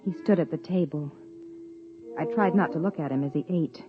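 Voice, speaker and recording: A woman's voice: low-pitched, moderate pace, close to an everyday voice. A woman playing a reflective wife narrating. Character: observant wife narrating her own story. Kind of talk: 1950s radio drama narration. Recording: tinny 1950s radio broadcast with faint music.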